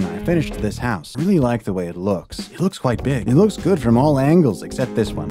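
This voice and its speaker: Male gameplay narrator, scripted first-person delivery, lowish register, highly varied pitch, music underneath. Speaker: video game narrator.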